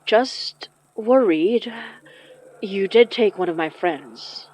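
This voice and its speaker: A woman playing a steady, protective young spell caster in an audio horror drama, with casual, natural delivery. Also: mid-range voice, takes it slowly. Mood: guarded. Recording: dry, slightly muffled studio.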